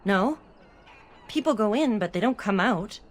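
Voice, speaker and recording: A woman's voice: mid-range voice, expressive, measured pace, lightly acted. An actress playing a worried, determined townswoman in a fantasy game, earnest and urgent. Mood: insistent, determined. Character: worried, determined female city-dweller seeking missing people.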